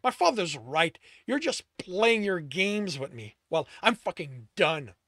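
A man voicing an anguished male creature with fur, shouting and swearing through tears. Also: high-pitched, big pitch swings, measured pace, no echo, clean studio sound, full character voice. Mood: furious. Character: anguished furred male creature, bitter and furious. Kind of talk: audiobook reading by the author.

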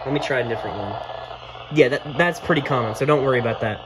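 A man's voice: medium pitch, fast talker. Guy doing a laid back how-to, mid voice, talks it through as he goes, really noisy phone video. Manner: informal, thinking aloud. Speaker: video creator giving a demonstration. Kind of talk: casual hands-on tutorial narration. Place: indoors at a wooden desk.

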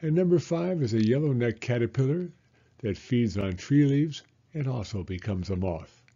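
A man in his sixties reading a children's picture book aloud in a measured storytelling manner. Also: mid-range voice, animated, dark tone, highs cut off. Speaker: story reader and host, elementary school teacher.

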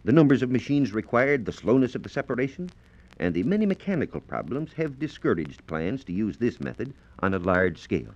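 A man's voice: medium pitch, big pitch swings, brisk, darkish tone. A man with an American accent presenting a formal, carefully phrased scripted science lecture in 1940s radio style. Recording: dull lo-fi 1940s radio transcription disc.

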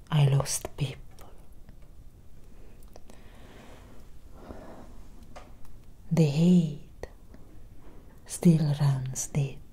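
A woman playing a centuries-old vampire doctor in a soft-spoken ASMR roleplay, speaking in a solemn, measured, breathy whisper. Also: low-pitched, slight background noise. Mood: grave, wary. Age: young adult. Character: centuries-old female vampire doctor, grave, gently caring.

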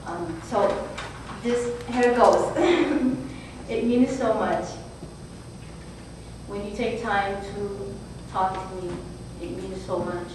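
A woman at a podium reading her own short heartfelt piece, halting and repeating phrases as she goes. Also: mid-range voice. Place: brick-walled hall with a seated audience. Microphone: podium mic from a far camera. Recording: roomy, distant, noisy hall recording.

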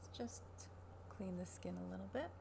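A woman in her thirties speaking softly, quietly and soothingly in a creaky voice, as a barber in an ASMR personal-attention roleplay. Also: medium pitch, expressive, moderate pace. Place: small furnished room at home, indoors. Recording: noisy webcam-style home recording.

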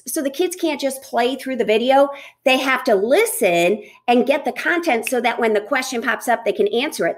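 High-voiced, animated woman teacher trainer. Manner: energetic, talks with her hands. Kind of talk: educational advice video. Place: office with wooden cabinets, indoors.

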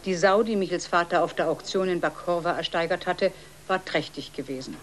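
A woman's voice: fairly low pitch, fairly quick, slight background noise. A woman giving scripted narration as the storyteller of a children's audio play.

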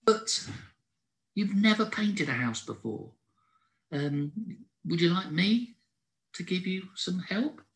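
Hare: gentle, helpful male friend, raised voice, very lively pitch, played by a male storyteller. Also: slow pace, long pauses, exaggerated voice. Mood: thoughtful. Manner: gentle, reassuring.

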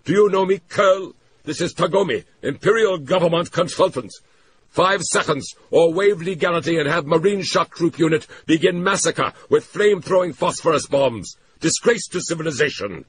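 A man voicing a stern Japanese male trade official who speaks in clipped, formal phrases and shouts when angered. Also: fairly low pitch, expressive, normal speed, a little hiss or hum, pitched above the performer's own voice, lightly acted. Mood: furious shouting.

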